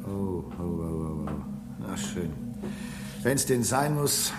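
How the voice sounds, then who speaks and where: Patient engineer with lively intonation. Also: male voice, sits low, slow pace, over loud music, full character voice. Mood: resigned, indulgent. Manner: conversational, indulgent, soothing. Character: patient, pragmatic human space station engineer. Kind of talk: naturalistic radio drama acting.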